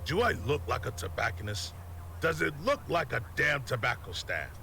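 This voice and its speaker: An actor playing a rude, irritable barkeeper in an adventure game, with a gravelly voice and a gruff, sarcastic manner. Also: male voice, mid-range voice, sing-song, normal speed, bright, forceful tone, faint music behind, full character voice. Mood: irritated, sarcastic. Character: rude, irritable male barkeeper in an odd town. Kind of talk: scripted game character acting.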